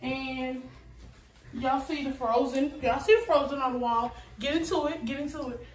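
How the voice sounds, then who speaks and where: High-voiced young woman, roomy noisy phone vlog. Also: steady tone, moderate pace, recorded from a distance. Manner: chatty, repeats phrases, addresses viewers as y'all. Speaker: vlogger giving a house tour. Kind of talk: casual handheld vlog tour. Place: indoors walking through a large house. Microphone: handheld phone.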